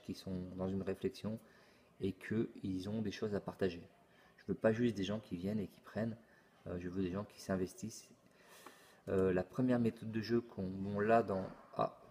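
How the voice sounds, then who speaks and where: A middle-aged man hosting his channel, explaining a forum casually, halting often and repeating words with many fillers. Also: on the low side, warm tone. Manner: plain explanatory tone. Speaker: YouTube channel host. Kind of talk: casual screen-recorded forum walkthrough.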